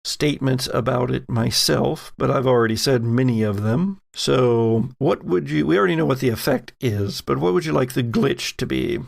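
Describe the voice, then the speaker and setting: A man's voice: mid-range voice, normal speed, gravelly, hardly any pauses. A man acting as game master, narrating a tabletop roleplaying game with dramatic storytelling and casual asides. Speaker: game master of an actual-play podcast.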